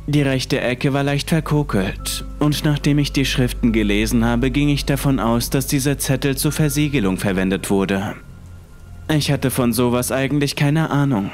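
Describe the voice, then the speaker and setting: A man narrating a creepy first-person horror story in a calm, measured way. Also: mid-range voice.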